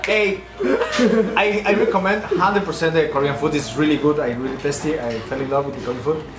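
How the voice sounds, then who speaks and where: A man's voice: on the high side, brisk, reverberant, heavy background noise. A young man hosting a casual food vlog in a small restaurant, playful and laughing, with slightly non-native phrasing, recorded on a handheld camera. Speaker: vlogger host. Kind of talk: casual chat. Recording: loud background music.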